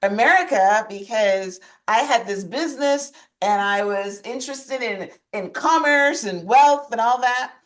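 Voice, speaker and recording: A woman's voice: on the high side, a little slow, hardly any pauses. A woman from Guyana hosting a podcast, chatting casually and encouraging her guest. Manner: warm encouraging interjections, laughs often. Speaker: podcast host. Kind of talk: casual interview chat.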